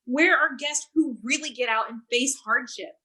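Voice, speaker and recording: An actress playing an earnest, accusing activist who challenges a talk show host, in a staged play reading on a video call. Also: female voice, high-pitched, normal speed, bright, forceful tone. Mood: scornful. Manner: accusing, insistent.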